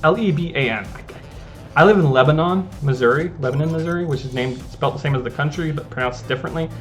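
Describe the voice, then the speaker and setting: A man's voice: on the high side, quick pace, faint music behind. A man giving casual, rambling commentary over his own gameplay as a gaming channel host. He often restarts his sentences and talks at an easygoing pace. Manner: rambling, self-interrupting, easygoing.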